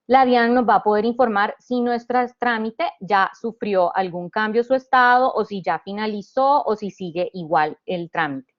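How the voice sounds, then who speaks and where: A woman from Honduras giving an explanatory presentation as a panelist. She talks through each point step by step, with restarts and "eh" pauses. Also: medium pitch, highs cut off. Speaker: panelist presenting a government digital service.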